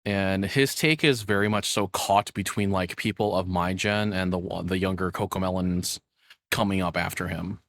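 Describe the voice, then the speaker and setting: Low-voiced young man, casual reaction streamer. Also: steady tone, normal speed, a bit bright, no echo, studio quality. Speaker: VTuber streamer reacting to a video.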